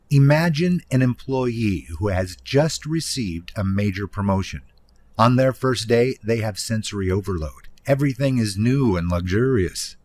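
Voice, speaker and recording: Low-pitched man narrating nonfiction. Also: darkish tone. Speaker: audiobook narrator, author reading own book.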